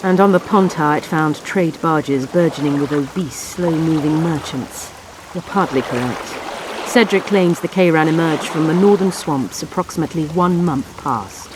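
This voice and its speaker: An actress playing a haughty, sardonic royal sorceress in a video game, speaking formally and dryly. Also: female voice, deep voice, moderate pace, noisy background, lightly acted. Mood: composed, dry. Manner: formal, dry, imperious. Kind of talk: dramatic video game dialogue. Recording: loud running water behind voice.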